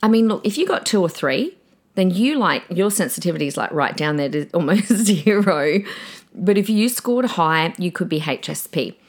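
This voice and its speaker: A middle-aged woman hosting a podcast in a chatty, excitable way, often calling her listeners guys, her voice slightly croaky. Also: lowish voice, moderate pace. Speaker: podcast host; preacher. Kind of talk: casual podcast monologue.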